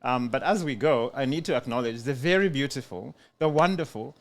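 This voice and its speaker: A man's voice: medium pitch, brisk. An easygoing male podcast co-host chatting on location in a community centre. He talks loosely, with frequent ums and repeated words as he gathers his thoughts. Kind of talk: casual podcast chat.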